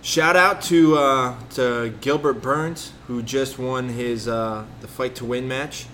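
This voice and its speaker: A man's voice: medium pitch, fairly even intonation, takes it slowly, fairly bright tone. Rambling, profane man in casual chat. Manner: rambling, profane, loses his train of thought. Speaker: podcast host. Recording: faint background noise.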